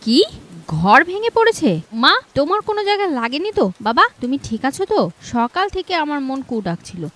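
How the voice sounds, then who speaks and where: A woman's voice: high-pitched, sing-song, brisk, fairly bright tone. A woman with a Bangladeshi accent playing a worried, caring married daughter in a cartoon, anxious and tender. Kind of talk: cartoon dialogue and storytelling. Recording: faint background noise.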